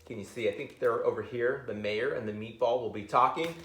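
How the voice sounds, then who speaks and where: A middle-aged man reading a picture book aloud expressively, pausing to comment and ask his listeners questions, recorded in a school library. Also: mid-range voice, fairly bright tone. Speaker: school reader of picture books to children. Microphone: camera a few metres away. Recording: roomy library, camera at a distance.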